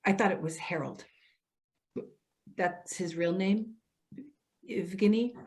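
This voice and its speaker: A woman's voice: medium pitch, slow pace, natural voice. A woman playing a patient, concerned social worker in a readers theater drama, speaking in an earnest, coaxing way. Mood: firm, coaxing. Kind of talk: readers theater stage acting.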